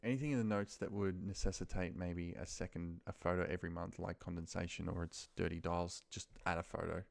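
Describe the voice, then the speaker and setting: A man thinking aloud in a muttered, pausing way as he talks through spreadsheet work on his computer. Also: low-pitched, fairly even intonation, gravelly. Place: at a computer desk, indoors.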